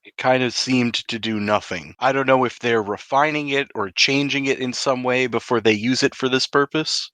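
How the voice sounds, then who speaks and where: A man's voice: mid-range voice, normal speed, fairly bright tone, dry recording. A man talking casually as a player in a tabletop game, dry and matter-of-fact.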